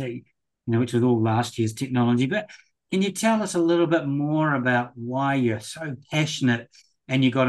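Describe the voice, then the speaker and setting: A man hosting an interview, asking his guest questions in a relaxed way, often repeating words as he goes. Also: medium pitch. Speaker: interview host.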